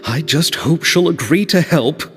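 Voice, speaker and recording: An actor playing a polite, earnest and anxious film director in a video game, speaking formally. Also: male voice, fairly high pitch, unhurried, natural voice. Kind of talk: English video game voice acting. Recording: echoing reverb, faint music behind.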